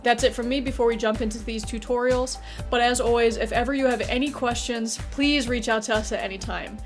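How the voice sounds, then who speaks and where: A woman's voice: a little high, steady tone, brisk, faint music behind. A woman presenter delivering a scripted welcome message on behalf of a company.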